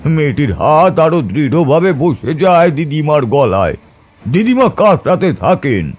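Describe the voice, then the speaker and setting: A male narrator tells a horror tale with theatrical suspense. His voice sits fairly high and swings widely in pitch, with a faint music bed underneath. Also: big pitch swings, unhurried, a little mellow. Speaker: story narrator. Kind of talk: dramatic scripted horror narration.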